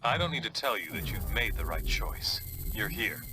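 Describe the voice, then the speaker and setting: A man playing an authoritative superhero leader in a video game, briefing in a measured, decisive way. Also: on the low side, expressive, loud music behind the voice, close to an everyday voice. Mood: resolved. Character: authoritative, resolved male superhero leader briefing his team. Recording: loud noise behind voice.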